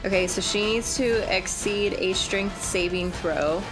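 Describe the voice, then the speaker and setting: A woman's voice: mid-range voice, unhurried, over music, low-quality recording. A woman playing a tabletop role-playing game, casually describing her character's actions.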